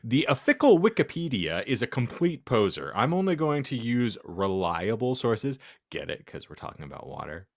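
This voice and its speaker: A man's voice: mid-range voice, very animated, moderate pace. A man voicing a bratty, foul-mouthed teenage goth girl in a comedic fan fiction reading, slangy, indignant and melodramatic.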